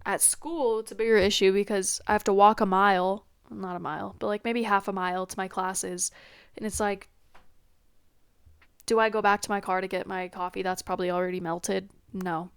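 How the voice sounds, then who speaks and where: A young woman with a congested, stuffy nose, chatting in a rambling, scattered way about herself. Her voice is smooth and sits at a middle pitch. She is recorded dry and close on a laptop mic. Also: flat delivery, moderate pace, no room sound. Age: young adult. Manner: chatty, scattered, self-deprecating. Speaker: podcast host. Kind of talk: rambling casual vlog chat. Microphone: laptop built-in mic.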